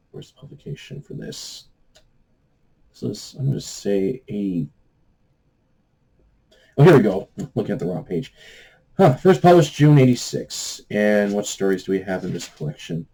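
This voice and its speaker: A man with a lowish, very mellow, dark-toned voice hosts a book review vlog. He talks casually and off the cuff. His delivery meanders, halting often as he hunts for the next word. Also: creaky. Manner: halting, meandering, searching for words. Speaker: host of a book review channel.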